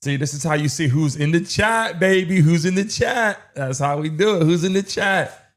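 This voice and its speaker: A man's voice: a little high, moderate pace. A man hosting a live stream, urging his chat along with insistent, repetitive calls and countdowns. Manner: urgent, insistent, repeating himself. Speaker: livestream host running chat polls.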